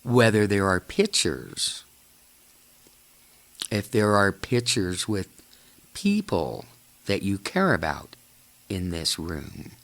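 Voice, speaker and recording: A man with a fairly low voice leads a guided meditation at an extremely slow pace. He speaks in short, halting phrases separated by long pauses, and his pitch moves widely as he goes. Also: big pitch swings, fairly bright tone. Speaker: guided imagery narrator.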